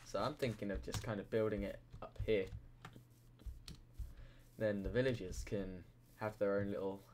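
A man's voice: on the low side, steady tone, moderate pace, darkish tone, slight background noise. A young man just woken up, rambling casually and drowsily as he comments on his gameplay. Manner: rambling, drowsy, self-mocking. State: just woken up, deep morning voice.

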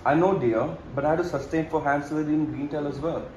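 A henpecked, doting father, played by a young man, talks earnestly in a fairly high voice. He is placating and meek, recorded in an echoey room for an amateur comedy. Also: fairly even intonation, fairly quick, a little mellow, muffled recording, close to an everyday voice. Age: young adult. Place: indoor home living room. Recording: echoey room with faint noise.

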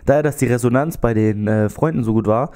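A man's voice: mid-range voice, fast talker, mellow. A young man with a slight cold, chatting casually into a handheld mic in his small bedroom, rambling with lots of fillers. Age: young adult. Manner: rambling, many fillers, gestures while talking. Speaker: YouTuber. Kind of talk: casual vlog chat. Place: small bedroom with TV and gaming setup. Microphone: handheld mic held close.

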